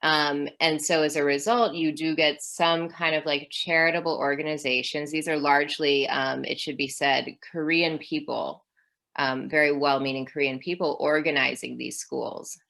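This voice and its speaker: A woman's voice: sits low, fairly even intonation, moderate pace, creaky, a bit bright. A woman in her thirties, a historian guest in an interview, talking through ideas thoughtfully with frequent ums and restarts. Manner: thoughtful, with many fillers. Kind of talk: academic interview.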